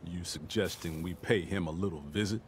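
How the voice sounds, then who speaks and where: An actor with an American accent playing a tough, easygoing army major in a video game, casual and confident. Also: male voice, sits low, fairly quick, slight background noise, natural voice. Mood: cocky, dismissive. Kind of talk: naturalistic game acting.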